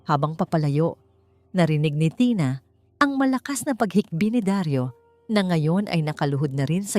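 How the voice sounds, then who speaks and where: A woman reading a dramatic scripted story in a solemn, measured, theatrical way. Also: on the low side, warm tone. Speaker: storyteller, narrator. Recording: dry voice over background music. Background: music.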